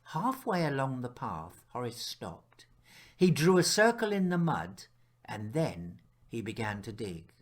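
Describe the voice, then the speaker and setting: A man narrating a children's picture book as its author, telling it expressively with dramatic pauses and hushed moments. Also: mid-range voice, sing-song. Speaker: author reading his picture book aloud.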